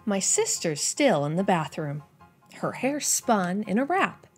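A young woman hosts storytime for small children. Her delivery is lively and melodic, with a slightly husky edge to the voice. Music plays behind her as she speaks. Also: mid-range voice, unhurried. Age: young adult. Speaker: children's storytime reader and host. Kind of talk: expressive picture-book read-aloud.

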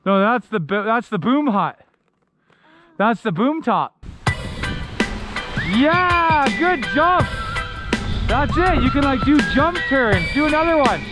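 A woman's voice: high voice, very animated, takes it slowly, long pauses, loud music behind the voice. A woman in her thirties coaching her kids on a ski slope and talking to an action camera outdoors. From Canada. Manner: encouraging, upbeat coaching. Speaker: vlogger and parent ski coach. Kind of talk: coaching kids while skiing, vlog talk. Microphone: helmet or handheld action camera.

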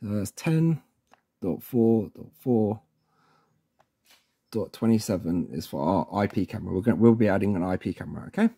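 A man's voice: lowish voice, lively intonation, normal speed, gravelly, long pauses, dark tone, slightly rough. A man presenting a casual instructional walkthrough in an informal, chatty way, often checking in with the listener. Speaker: tutorial presenter.

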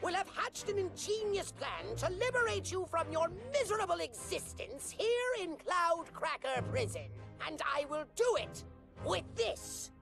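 A man's voice: very high-pitched, sing-song, a little slow, creaky, fairly bright tone, soft background music, full character voice. A man playing a small, megalomaniac cartoon villain with a pompous, bombastic, theatrical delivery. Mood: grandiose scheming. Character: small bald shrill megalomaniac male evil overlord.

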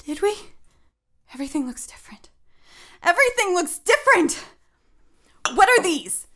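A woman acts out a disoriented woman in an audio drama. Her voice is high and swings widely in pitch as she speaks haltingly, repeating herself in unease. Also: very animated, pushed and bright, heavily acted. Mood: uneasy, confused. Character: Laura, disoriented, increasingly panicked woman.

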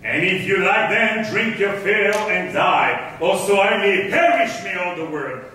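An actor playing a scheming, gloating villain in a theatrical staged verse reading. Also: male voice, high-pitched, animated, a little slow, sharp tone, exaggerated voice. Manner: theatrical, declamatory. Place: large indoor theatre stage. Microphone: far camera. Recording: distant echoey theatre stage, faint noise.

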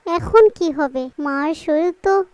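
Woman acting a very high-pitched caring wife. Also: unhurried, a little mellow, dry recording. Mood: worried chiding. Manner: coaxing, chiding. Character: worried, caring wife and mother, gently nagging.

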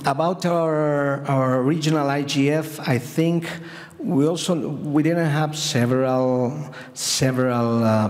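Middle-aged man, halting conference remarks, mid pitch, long hall reverb. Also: darkish tone. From Bolivia. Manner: halting, many restarts and repeated words. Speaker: panel participant. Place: large conference hall, seated in the audience.

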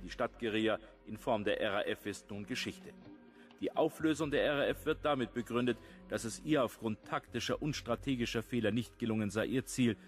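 An actor playing a sober radio news correspondent delivering a scripted report. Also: male voice, fairly low pitch, close to an everyday voice.

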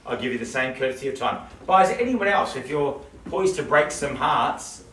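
Joking New auctioneer in a room. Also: male voice, medium pitch, lively intonation, fairly bright tone, a little hiss or hum. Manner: joking, rapid patter, repeating bids. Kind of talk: live property auction calling. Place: living room of a house. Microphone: camera across the room. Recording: roomy, distant camera audio.

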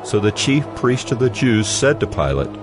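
A man narrating a dramatized scripture reading in a solemn, measured storytelling manner. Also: medium pitch, sing-song. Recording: music bed behind the voice.

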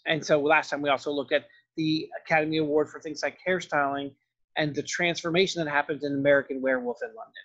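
A man's voice: fairly high pitch, steady tone, fast talker, a bit bright. A man lecturing informally like a professor, explaining in a rambling way and putting questions to his listeners. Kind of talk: informal film-costume lecture. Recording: dull lo-fi audio.

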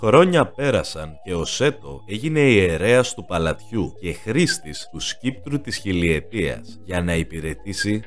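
A man narrating a scripted story recap as the host of the piece. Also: low-pitched.

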